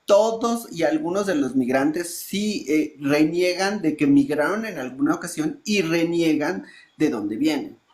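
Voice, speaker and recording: A woman speaking as a panelist, animated and emphatic, with colloquial asides. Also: sits low, a little slow, echoey room. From Mexico. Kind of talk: panel discussion.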